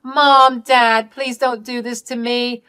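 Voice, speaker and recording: Woman acting a spoiled, melodramatic younger sister, pitched up. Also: level tone, slow pace, sharp tone, clean tone. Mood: desperate pleading. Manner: melodramatic, pleading and accusing. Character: spoiled, scheming younger sister, adult woman, whiny and indignant.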